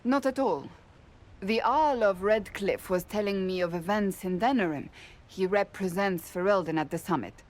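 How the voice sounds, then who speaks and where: A woman's voice: mid-range voice, moderate pace, natural voice. A woman playing a dignified, steadfast warrior priestess in a video game, formal and earnest. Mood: resolute, loyal. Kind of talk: dramatic video game dialogue.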